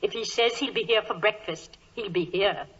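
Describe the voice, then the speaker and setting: An actress playing a homely, reassuring English housekeeper with a British accent, chatty and folksy, in 1940s radio style. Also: female voice, mid-range voice, moderate pace, fairly bright tone, close to an everyday voice. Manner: fussy, comforting, folksy. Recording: dull old radio recording.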